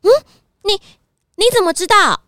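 A woman's voice: very high-pitched, animated, normal speed, sharp tone, no room sound, studio quality, heavily acted. A woman with a Taiwanese Mandarin accent playing a sly, righteous female fox thief in a children's radio drama, taunting and haughty. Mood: coy.

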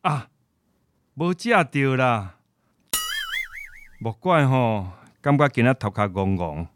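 An elderly grandfather with a Taiwanese accent, kindly and folksy. His pitch moves around freely, with clear music behind him in this radio play. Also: animated. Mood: kindly, easygoing. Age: older. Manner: gentle, folksy, halting when unwell. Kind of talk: radio drama acting.

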